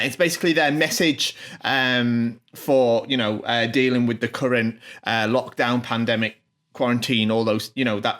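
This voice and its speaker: A man hosting his own channel, translating a video message in an informal, off-the-cuff way, with many pauses, ums and restarts. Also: mid-range voice, fairly bright tone. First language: not Japanese.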